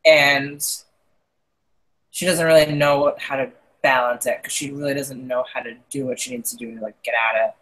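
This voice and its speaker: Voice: medium pitch, fairly even intonation, normal speed, a bit bright, poor audio. A podcast co-host who sounds a little congested, chatting casually about a TV show, hesitant and often trailing off.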